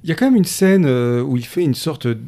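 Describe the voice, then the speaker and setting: A man's voice: a little high, lively intonation, a little fast, mellow. A man, a film critic in a podcast discussion, reasoning aloud in an analytical way with frequent restarts.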